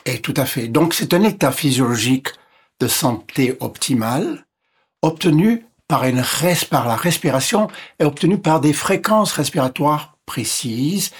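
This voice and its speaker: A man whose first language is English, speaking as a physician guest and explaining step by step in a measured way that lists and repeats points. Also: on the high side, animated, breathy edge. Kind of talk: explanatory interview talk.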